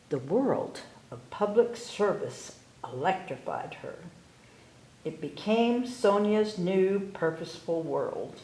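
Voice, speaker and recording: A woman hosting a library story time, reading aloud to children with deliberate phrasing. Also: medium pitch, dark tone. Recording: roomy, echoey indoor sound.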